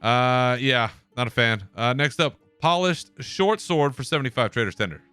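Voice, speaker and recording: Brief: male podcast host, smooth clear voice, relaxed solo chat, swears casually. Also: mid-range voice, normal speed, soft background music. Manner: laid-back, swears, chuckles.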